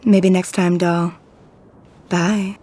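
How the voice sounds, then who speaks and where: A woman's voice: on the low side, flat delivery, drawn-out words, dark tone, husky, heavily acted. A woman playing a flirtatious, breezy dame in a video game, coy and inviting. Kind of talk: video game cutscene acting.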